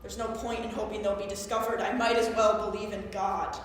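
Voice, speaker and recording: A young woman on stage playing an earnest, geeky woman obsessed with physics in an animated, wistful monologue. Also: mid-range voice, brisk, close to an everyday voice. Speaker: actor in a staged play reading. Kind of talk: theatrical monologue, explanatory. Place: live staged reading at a conference. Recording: very echoey hall, faint noise.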